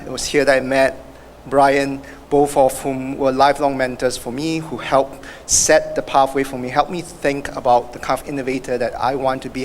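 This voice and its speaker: Singaporean man giving an acceptance speech. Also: medium pitch. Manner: halting, many fillers and restarts. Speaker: award recipient. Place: event hall. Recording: echoey hall with faint noise.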